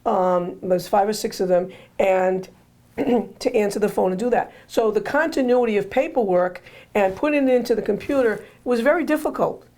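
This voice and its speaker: A woman hosting a casual interview, her voice hoarse and going, rambling and repeating herself with joking asides. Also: mid-range voice, moderate pace, darkish tone. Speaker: host.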